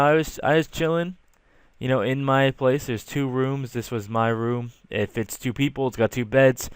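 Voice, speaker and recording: Young adult male storyteller, casual and slangy, smooth clear voice, dry recording. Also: medium pitch, no echo. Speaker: storytelling video creator. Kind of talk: casual storytelling over rough drawings.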